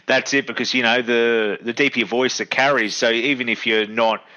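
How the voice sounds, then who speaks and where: A man's voice: mid-range voice, steady tone, normal speed, pushed and bright. A young adult man, chatting in an agreeable, easygoing way and laughing often. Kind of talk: casual podcast chat. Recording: dull lo-fi mic.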